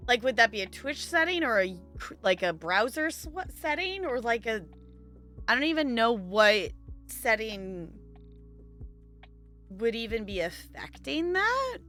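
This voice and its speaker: A woman's voice: a little high, big pitch swings, measured pace, a bit bright, soft background music. A woman hosting a livestream, chatting casually with viewers and thinking aloud in a rambling way. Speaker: livestream host. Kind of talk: casual chat with live stream viewers.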